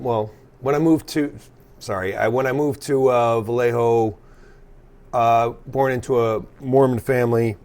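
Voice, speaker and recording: A man with an American accent chatting casually as a guest, sweary and halting as he corrects himself. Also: mid-range voice, a little mellow, clear, resonant tone. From California, US. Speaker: guest, punk drummer. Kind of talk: casual chat, telling own story.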